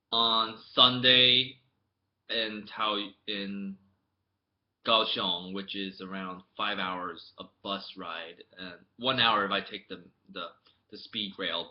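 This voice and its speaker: Rambling young man on a dull laptop mic. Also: fairly low pitch. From United States. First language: English. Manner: rambling storytelling with many restarts, swinging from mumbled asides to loud outbursts. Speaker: vlogger. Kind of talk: casual chat to camera. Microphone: laptop webcam mic.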